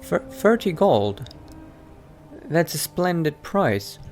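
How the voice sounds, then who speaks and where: Man playing vampire adventurer, halting, polite, muttering, improvised game roleplay, background music. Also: fairly high pitch, big pitch swings, darkish tone, heavily acted. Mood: wry. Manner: halting, muttering, repeats himself.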